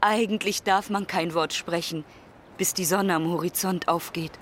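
An actress playing a friendly, solitary motel keeper who chats in an unhurried way in a radio play. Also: female voice, fairly low pitch, fairly even intonation, a little hiss or hum, natural voice. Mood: friendly, calm. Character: friendly, solitary female motel keeper in American desert town.

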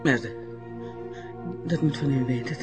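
A high-voiced performer, heard as a man playing an evasive, flustered character in a radio drama. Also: a little slow, over music, close to an everyday voice. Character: evasive, flustered civilian with nervous laughter.

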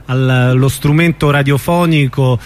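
A man's voice: medium pitch, steady tone, measured pace. A male radio host asking his guest questions in a curious, conversational way. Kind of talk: radio interview. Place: radio studio.

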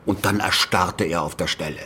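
An actor playing a wry, grimly resigned Soviet regional official in a German radio drama, with ponderous, theatrical phrasing. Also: male voice, low-pitched, steady tone, moderate pace, pushed and bright, husky, exaggerated voice. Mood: scornful, grim.

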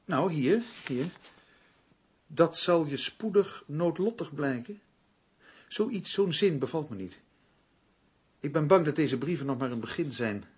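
An actor playing an uneasy, earnest crime novelist in a radio drama, given to defensiveness. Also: male voice, on the high side, expressive, measured pace, pauses often, breathy edge, full character voice. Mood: worried.

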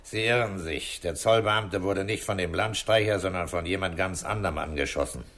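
Deep-voiced, authoritative police commissioner. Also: male voice, fairly bright tone. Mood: calm, controlled. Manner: measured, deliberate, authoritative. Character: authoritative, methodical male police commissioner, dryly impatient. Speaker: police commissioner leading an investigation. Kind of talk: 1960s German radio crime drama acting.

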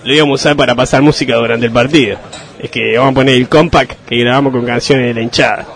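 A man's voice: mid-range voice, fairly even intonation, fast talker, gravelly, close to an everyday voice. A man playing a brash, scheming football hooligan leader in a comedic radio sketch. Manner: brash, demanding. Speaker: fan group leader.

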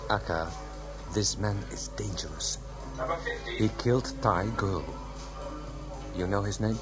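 A world-weary police detective in a radio play. His voice is low, his manner knowing, matter-of-fact and grim, and clear music plays behind him. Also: takes it slowly. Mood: firm. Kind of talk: radio drama acting.

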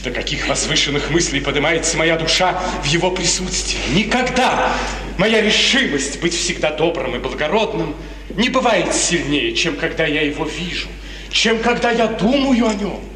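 A lovesick young count in a 1950s radio tragedy, speaks in a fairly high voice with lofty, ardent, melancholy solemnity. The recording is distant and noisy, ringing like a hall. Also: male voice, expressive, normal speed, bright, forceful tone. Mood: fervent. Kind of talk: 1950s radio theatre acting, declamatory tragedy.

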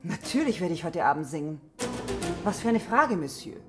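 An actress in a radio play voicing a haughty opera prima donna, grand and self-assured in manner. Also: female voice, lowish voice, big pitch swings, moderate pace, music in the background. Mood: defiant. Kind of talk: German radio play acting.

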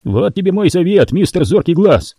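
A man's voice: sits high, big pitch swings, normal speed, dark tone, slightly rough, no echo, clean studio sound, voice pushed up, exaggerated voice. A man doing a mocking, tough bearded captive.

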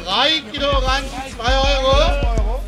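A man's voice: very high-pitched, measured pace. A man bellowing repeated sales cries as a market trader. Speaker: market crier. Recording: loud music behind the voice.

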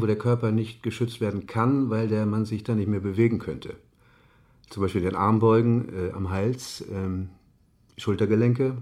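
A man playing a hesitant doctor explaining in a radio drama. The voice is lowish and relaxed, halting as he hunts for clinical terms. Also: lightly acted. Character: hesitant, clinical male medical expert. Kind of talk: expert interview in a radio play.

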